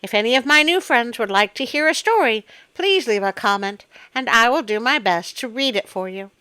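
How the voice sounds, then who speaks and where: A woman hosting a story show, telling tales in an expressive, folksy, animated way to her listeners. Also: on the high side, normal speed, clean tone, dry recording. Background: music.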